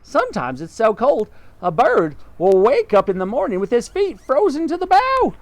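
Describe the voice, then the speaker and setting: Storybook bird, kindly, chatty, high and lively, voiced by a man. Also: moderate pace, dry recording, heavily acted. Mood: reassuring.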